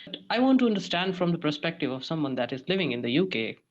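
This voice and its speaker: A man from India, hosting a casual podcast conversation, halting and full of restarts and "like" fillers. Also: a little high, very animated. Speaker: podcast host. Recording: dull lo-fi sound.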